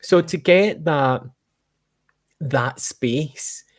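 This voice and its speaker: A man's voice: fairly high pitch, drawn-out words. A man hosting a casual interview, talking conversationally and rephrasing his thoughts mid-sentence. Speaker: host, interviewer.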